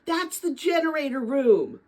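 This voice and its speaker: A woman reading a storybook as a brisk, bossy young female pipeworks guide who gives matter-of-fact instructions. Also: high-pitched, very animated, a little mellow, pitched above the performer's own voice. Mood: loud, brisk.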